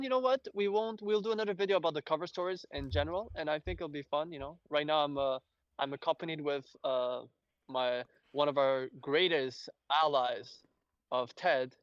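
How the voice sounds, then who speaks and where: A man from Belgium, a native French speaker with an accent to match, hosting a casual fan discussion in a rambling, halting way. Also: on the high side, no room sound, dull audio. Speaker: host.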